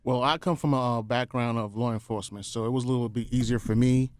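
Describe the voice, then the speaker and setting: A man's voice: mid-range voice, steady tone, fast talker, a little mellow, no room sound. A man, a voice actor, answering questions casually as an interview guest.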